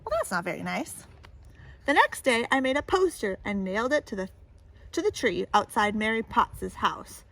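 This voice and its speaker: A woman reading a children's picture book aloud in an animated way, pausing to put questions and little comments to young listeners. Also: fairly high pitch, no room sound, rough recording. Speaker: storybook reader.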